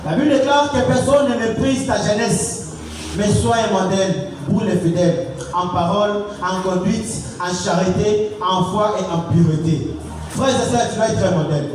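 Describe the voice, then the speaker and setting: A man's voice: high voice, normal speed, background noise. A young man preaching to a youth gathering, repeating his points and calling for response. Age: young adult. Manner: repetitive exhorting, call-and-response. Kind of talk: sermon. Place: church. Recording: echoey hall from far off, music behind.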